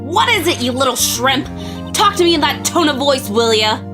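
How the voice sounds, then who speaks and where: A woman's voice: lively intonation, moderate pace. A woman playing a giggly, ditzy young witness. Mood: shrill angry. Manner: giggly, cutesy, then shrill and whiny. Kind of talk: fan dub of game dialogue. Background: game music.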